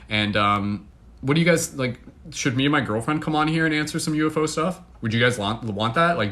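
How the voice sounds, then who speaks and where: Chatty Canadian man livestreaming. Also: medium pitch. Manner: chatty, filler-heavy, excitable. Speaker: livestream host. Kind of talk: casual livestream chat to viewers.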